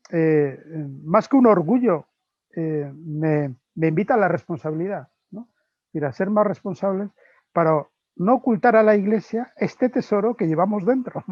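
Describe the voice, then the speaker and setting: A middle-aged man with a Spanish accent giving a spiritual lecture as a Dominican friar, reflective and pausing often to search for words with little tag questions. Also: sits high, a little mellow, no echo. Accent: Spain Spanish. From Spain. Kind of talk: spiritual conference talk. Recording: dull, lo-fi dry recording.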